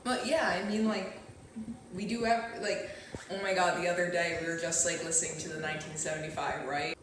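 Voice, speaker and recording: A woman's voice: lowish voice, steady tone, fairly quick, natural voice. A woman playing a chirpy, oblivious American housemate in a mock reality show sketch, gushing and rambling. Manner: gushing, peppered with "like". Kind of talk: comedy mockumentary sketch. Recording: very echoey, distant, faint noise.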